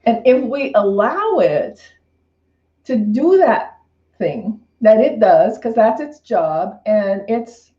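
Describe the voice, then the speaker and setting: A woman's voice: fairly high pitch, very animated, takes it slowly. A woman minister giving an informal, unscripted spiritual talk in a reflective, conversational way, often repeating and rephrasing her phrases. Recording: roomy, slightly dull lo-fi sound.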